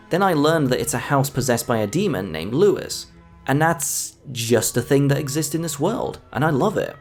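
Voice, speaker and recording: Male video essayist, scripted review narration, animated intonation, mid-range pitch, music underneath.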